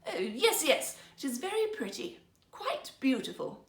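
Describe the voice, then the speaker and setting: Woman playing a vain, high-voiced storybook emperor. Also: wildly swooping pitch, a little slow, roomy sound, distant mic, full character voice. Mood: falsely pleased. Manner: regal, exclaiming, theatrical. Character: vain, anxious male emperor hiding his doubts.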